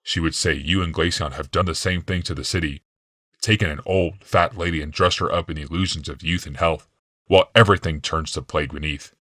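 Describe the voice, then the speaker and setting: Man acting a composed, low-voiced physician. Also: fairly even intonation, brisk, sharp tone, no room sound, clean studio sound. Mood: persuasive. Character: composed, persuasive male physician, rival to the husband.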